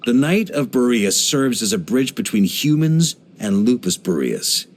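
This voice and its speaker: A genial middle-aged knight commander from a video game, with a mid-pitched voice that moves in lively swings. He is easygoing and jovial, with a theatrical flair to the way he talks. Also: measured pace. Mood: boastful, warm. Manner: jovial, laid-back, theatrical, given to chuckles. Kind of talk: English video game character voice lines.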